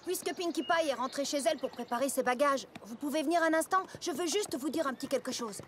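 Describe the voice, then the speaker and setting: A woman's voice: high voice, fast talker, slight background noise, natural voice. A woman voicing a sensible, caring young farm pony mare in a French cartoon dub, earnest in manner. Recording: music behind the voice.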